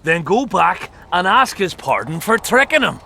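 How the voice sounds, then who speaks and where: Gravelly, defiant young soldier. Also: male voice, high-pitched, big pitch swings, normal speed, bright, forceful tone, full character voice. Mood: scornful, defiant. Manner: scornful, sarcastic. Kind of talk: video game voice acting. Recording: music behind the voice.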